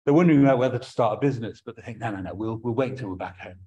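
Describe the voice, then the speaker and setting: A man's voice: mid-range voice, rapid-fire, mellow, husky, highs cut off. Man, English accent, middle-aged, sermon, earnest, repeats words when restarting, webcam at home. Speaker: preacher.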